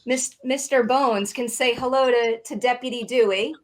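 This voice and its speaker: A woman's voice: a little high, fairly even intonation, normal speed. A woman hosting a friendly interview in a chatty, upbeat way. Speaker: interview host.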